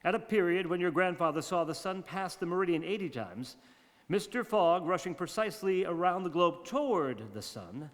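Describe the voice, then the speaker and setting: A man's voice: a little high, close to an everyday voice. A man playing a grandiloquent, wry 1930s radio host in theatrical monologue, eloquent and self-mocking. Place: community theatre radio play staged live. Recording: reverberant hall.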